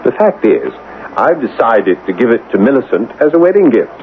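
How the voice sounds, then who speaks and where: A man performs a scoffing British major from a colonial radio mystery. He blusters and dismisses with lively swoops of pitch. The sound is narrow and tinny with faint hiss. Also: normal speed, close to an everyday voice. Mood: scoffing, defiant. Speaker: actor in a radio drama. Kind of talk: old-time radio mystery acting. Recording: tinny, noisy old radio broadcast.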